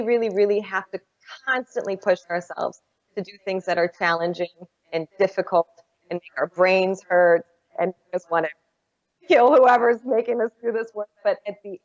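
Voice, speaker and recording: A woman's voice: medium pitch, dull audio. A woman speaking on a conference panel, telling a story in an earnest, encouraging way.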